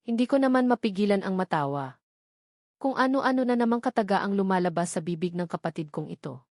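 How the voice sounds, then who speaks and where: A woman's voice: medium pitch, lively intonation, fairly quick, darkish tone, no echo, studio quality. A woman with a Filipino accent reading a scripted first-person romance story as an audiobook narrator.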